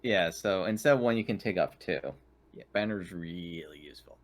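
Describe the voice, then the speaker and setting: Male gamer, fairly low voice, casual joking banter. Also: moderate pace. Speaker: player in a tabletop game.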